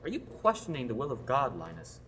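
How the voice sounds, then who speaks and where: A man's voice: medium pitch, wildly swooping pitch, brisk, fairly bright tone, a little hiss or hum. A young man in a student radio drama, playing a self-assured, pious knight who bosses others around. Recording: long reverb.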